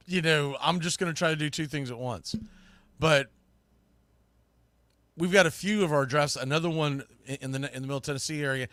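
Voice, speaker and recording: A man's voice: a little high, fairly bright tone, no room sound. A middle-aged man hosting a sports talk show, chatting casually and informally in a rambling, mildly salty way. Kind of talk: casual, rambling live podcast chat.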